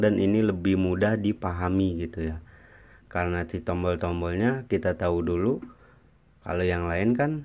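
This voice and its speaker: Male Indonesian vlogger, low register, informal and halting, walking through product features conversationally. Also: a little mellow. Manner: informal, halting, repetitive explaining. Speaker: motovlogger presenting a product explainer. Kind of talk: casual product explainer to camera.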